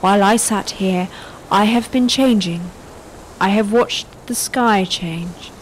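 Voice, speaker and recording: An actress playing a weary yet defiant elderly woman, delivering a measured, poetic monologue in a literary radio drama. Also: mid-range voice, slight background noise, close to an everyday voice, poor audio. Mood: weary, resolute.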